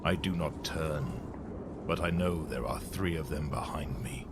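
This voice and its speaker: Low-voiced man narrating a dramatic war story. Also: unhurried, very noisy.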